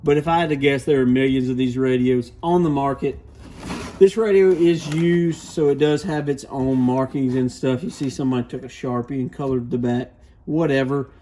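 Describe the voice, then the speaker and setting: A man with a medium-pitched voice walks through a product for beginners. He speaks informally and plainly, explaining each point in the casual style of a hands-on reviewer. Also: steady tone, normal speed, warm tone, a little hiss or hum. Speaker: radio shop product reviewer, presenter.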